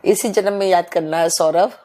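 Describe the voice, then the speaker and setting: Hoarse woman teacher, live online class, motherly, keeps clearing her throat. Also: lowish voice, steady tone, moderate pace, dark tone, slight background noise. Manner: motherly, calls students "beta", coaxing them to hurry. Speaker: English teacher.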